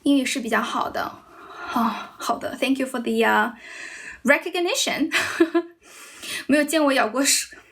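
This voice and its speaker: A young woman from China hosts a casual English-practice livestream, speaking English with a Chinese accent that slips toward American and British sounds. Her voice sits a little high, and she talks in a loose, chatty way, often restarting sentences and pausing to thank viewers. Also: gravelly, a bit bright. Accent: Chinese, mixed with American and British.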